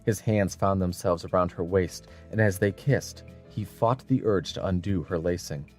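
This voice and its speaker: A man's voice: sits low, moderate pace, a little mellow, faint music behind. A man narrating an audiobook story in a scripted, dramatic style.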